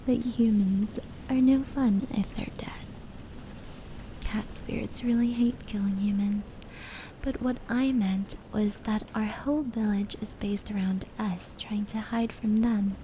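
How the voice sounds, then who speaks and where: A gentle fox spirit acted by a woman speaks in a soft, breathy near-whisper with a mellow tone. She is unhurried, caring and a little teasing, like an intimate ASMR bedtime storyteller. Steady ambient noise of rain and brushing sits behind her. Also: medium pitch, close to an everyday voice, poor audio. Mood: calm, reflective. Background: indoor rain and brushing sounds.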